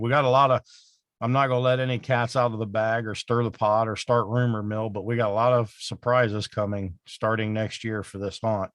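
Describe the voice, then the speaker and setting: A man co-hosting a podcast in casual chat, with a raspy voice, sounding worn out and rambling with lots of fillers. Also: on the low side, no echo. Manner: rambling, full of "you know" fillers. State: exhausted, worn out. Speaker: podcast co-host.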